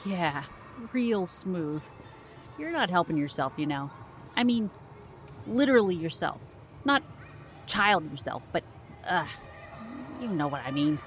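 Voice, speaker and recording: An actress playing a sarcastic, level-headed sidekick in an audio drama, with a wry, teasing manner. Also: female voice, fairly low pitch, sing-song, measured pace, pauses often, faint music behind.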